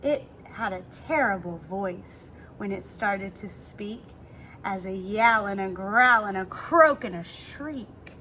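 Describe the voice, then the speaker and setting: A woman reading a children's story aloud expressively, pausing to ask her young listeners questions like a teacher. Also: medium pitch, sharp tone, a little hiss or hum, low-quality recording. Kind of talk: animated children's read-aloud.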